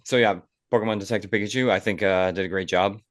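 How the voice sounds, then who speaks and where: A young man with a low-pitched voice hosts a casual podcast. He rambles conversationally and circles back over his phrases. The sound is dry and close. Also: steady tone, fast talker, no echo. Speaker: podcast host.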